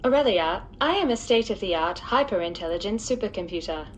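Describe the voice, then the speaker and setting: Audio drama casting: woman to play female AI supercomputer of a starship, polite, formal, drily sarcastic, medium pitch, noisy recording. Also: lively intonation, fairly quick, close to an everyday voice. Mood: formal announcing. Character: hyper-intelligent female ship supercomputer, helpful, dryly cheeky. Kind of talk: scripted science-fiction comedy audio drama.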